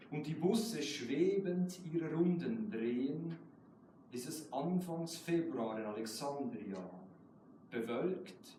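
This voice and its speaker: A man's voice: mid-range voice, mellow. A man from Switzerland, a native German speaker, speaking as a guest poet at a live poetry reading. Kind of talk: poetry reading with casual asides. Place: indoor live poetry event. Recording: roomy echoing hall, distant mic, noisy.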